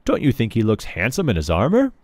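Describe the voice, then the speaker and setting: A man's voice: medium pitch, huge pitch swings, fast, no echo, clean studio sound. A man voicing a confident, imaginative little girl who makes grand make-believe pronouncements in a storybook. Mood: grandly presenting. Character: bossy, imaginative little girl playing at being king.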